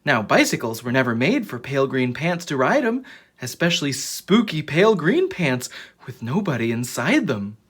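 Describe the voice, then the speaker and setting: A storyteller with a husky voice reading a children's book aloud in a dramatic, expressive way. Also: medium pitch, normal speed. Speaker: children's story reader and channel host.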